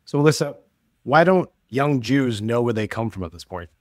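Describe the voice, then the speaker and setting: A young man hosts a podcast over a video call, with a dry and close sound. He keeps things upbeat and chatty at a middle pitch for a man, often repeating his words of praise. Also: expressive, moderate pace, no echo. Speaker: podcast host. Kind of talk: casual upbeat hosting.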